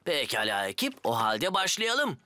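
Expressive, friendly builder foreman. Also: medium pitch, normal speed, slight background noise, exaggerated voice. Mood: encouraging. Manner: encouraging, directing. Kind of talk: animated cartoon dub acting. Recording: loud music behind the voice.

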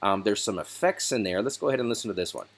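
A man hosting a software tutorial, explaining each step in a casual, relaxed way over a screen recording. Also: lowish voice, quick pace.

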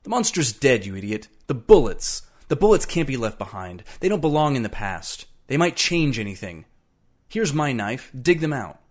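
A man voicing a furious, threatening safari guide in a dramatised story reading, forceful and accusing as he barks orders. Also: mid-range voice, big pitch swings, normal speed, pitched above the performer's own voice. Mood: commanding, contemptuous.

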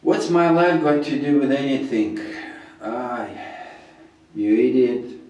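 Slow, scornful middle-aged man. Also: medium pitch, expressive, mellow. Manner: contemptuous, insulting, slow and deliberate. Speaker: commentator reacting to a sermon. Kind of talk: scornful commentary. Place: room crowded with ornaments. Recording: very echoey room.

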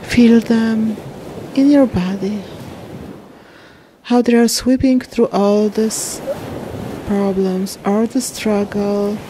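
Slow-paced woman guiding a meditation over waves. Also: mid-range voice. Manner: soothing, unhurried, short phrases with long pauses. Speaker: meditation guide. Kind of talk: slow guided meditation narration. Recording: steady wash of ocean waves and wind noise. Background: ocean waves.

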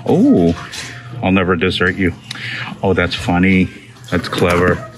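A man's voice: sits low, unhurried. Upbeat man narrating a store walkthrough. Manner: chatty, upbeat, reads product labels aloud with fillers. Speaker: shopping vlog host. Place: inside a large discount store. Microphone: handheld phone camera. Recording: phone recording with store background noise. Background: store ambience.

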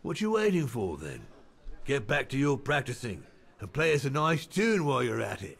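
A kindly, shrewd old innkeeper for a video game, speaking. His voice is gravelly and a little husky, and his pitch swings lively up and down as he dishes out fatherly praise and folksy turns of phrase. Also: moderate pace, exaggerated voice. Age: older.

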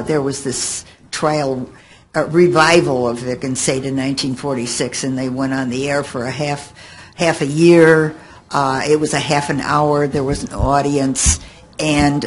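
Woman, panelist, slow measured delivery, slight background noise. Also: sits low. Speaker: panelist, devoted fan of old radio. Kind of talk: explaining to an audience. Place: hotel meeting room, panel table. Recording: slightly noisy meeting-room amplification.

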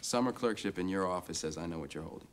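An actor playing a polite, quietly confident young law student in a film, deferential but sure of himself. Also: male voice, deep voice, fast talker, slight background noise, lightly acted. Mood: polite, assured. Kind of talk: naturalistic film acting.

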